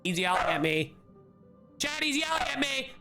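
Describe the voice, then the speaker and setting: A man streaming a video game live, chatting casually in an animated, exclamatory way with some swearing. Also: very high-pitched, moderate pace, gravelly, loud music behind the voice. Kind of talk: casual livestream chat and game reactions.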